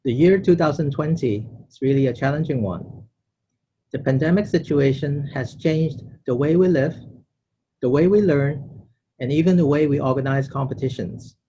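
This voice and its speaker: A man's voice: on the high side, normal speed, mellow, lo-fi sound, a little hiss or hum. A man delivering a prepared thank-you speech as the president of an organization. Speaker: organization president giving closing remarks.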